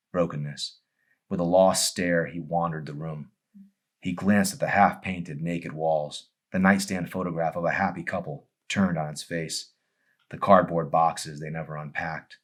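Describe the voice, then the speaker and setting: A man reading a novel aloud as its author, in a dramatic audiobook narration style. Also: rumbling low.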